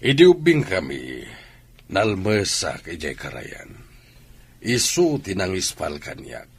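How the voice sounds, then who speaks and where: Deep-voiced older Filipino father. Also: huge pitch swings, slow pace, a little hiss or hum, full character voice. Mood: reminiscing. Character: older father reminiscing about his old friend. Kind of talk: radio drama acting.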